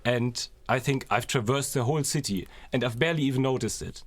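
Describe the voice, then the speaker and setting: A man's voice: mid-range voice, a little fast. A young man speaking as a student podcast co-host, explaining things in a reflective, conversational way. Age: young adult. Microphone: close podcast microphone.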